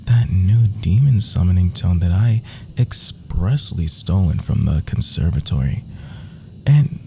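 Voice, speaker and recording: Swooping, theatrical, flirtatious villain. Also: male voice, fairly low pitch, gravelly, darkish tone, a little hiss or hum, heavily acted. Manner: melodramatic, swooping, purring whispered pet names. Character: theatrical, flirtatious villain lover, gleefully sadistic and doting. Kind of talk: ASMR roleplay acting.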